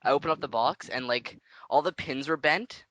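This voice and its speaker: A man's voice: fairly high pitch, normal speed, pushed and bright, no echo. A man making a casual prank phone call, rambling in slang and persistently asking naive questions. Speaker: prank caller.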